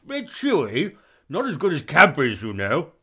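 A man's voice: on the high side, measured pace, slightly rough, voice pushed up, exaggerated voice. Comic story dad, man performing: older, blustery, childishly eager, raised swooping voice. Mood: gleeful, slurred. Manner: blustering, excitable, protesting. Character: older husband and father, flustered, gleeful, childish.

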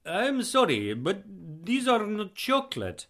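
A man's voice: high-pitched, huge pitch swings, slow, fairly bright tone, pitched above the performer's own voice, full character voice. A man voicing a polite, proud young male visitor with an Eastern European accent in a comic story. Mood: proud, earnest. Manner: courteous, earnest, foreign phrasing.

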